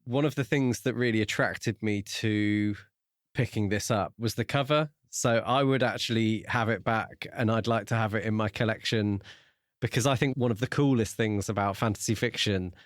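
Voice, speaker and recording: Low-voiced man, dry close sound. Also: no room sound. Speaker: podcast co-host. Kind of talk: casual book discussion.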